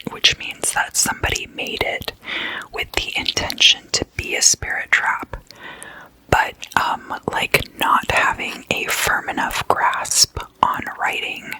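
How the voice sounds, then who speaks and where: An ASMR host speaking in a breathy whisper, explaining things casually and haltingly in short phrases. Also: bright, forceful tone, low-quality recording.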